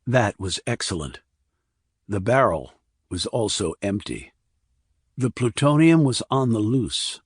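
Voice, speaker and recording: A man's voice: lowish voice, breathy edge, no echo, studio quality. A man narrating a crime thriller audiobook as a scripted reading.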